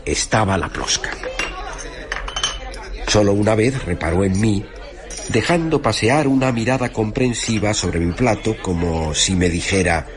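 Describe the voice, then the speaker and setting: A man reading a literary story in the first person, theatrical and measured, with deliberate pauses between phrases. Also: on the low side, expressive, crowd noise. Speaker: narrator reading a short story. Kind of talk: dramatic literary radio narration in first person. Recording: music under the voice. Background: music.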